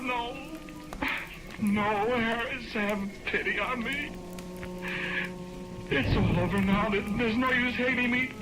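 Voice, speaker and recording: An actor playing a desperate condemned prisoner who pleads in agitated, halting outbursts, in the style of 1940s radio drama. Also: male voice, very high-pitched, rough recording. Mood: bitter desperation. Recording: old 1940s radio recording with music.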